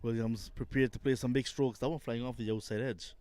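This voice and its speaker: A man calls a cricket match live and mixes lively play-by-play with chat with his guest. His pitch sits in the average range for a man. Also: a little fast, clear, resonant tone. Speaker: cricket commentator. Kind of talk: live cricket commentary and interview.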